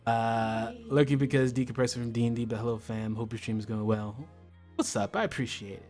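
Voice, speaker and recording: A man's voice: mid-range voice, a little mellow. An American man hosting a casual livestream chat, talking in an impassioned, slangy way and swearing freely. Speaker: livestream host.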